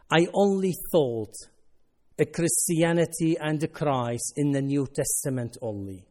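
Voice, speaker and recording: Man, from Syria, Arabic native speaker, mellow, earnest testimony, halting English. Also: mid-range voice. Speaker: ministry worker. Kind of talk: personal testimony talk.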